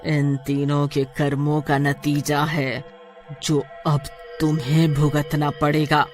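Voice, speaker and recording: A woman's voice: deep voice, level tone, takes it slowly, a little mellow, soft background music, pitched below the performer's own voice. A woman voicing a menacing witch ghost in a cartoon story, accusing and dramatic. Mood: accusing, vengeful.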